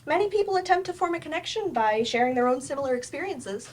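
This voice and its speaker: A woman's voice: sits high, lively intonation, fast. A woman in a staged play reading, playing a firm, probing therapist with a direct, dry manner. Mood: measured. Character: blunt, probing female therapist, patient but firm.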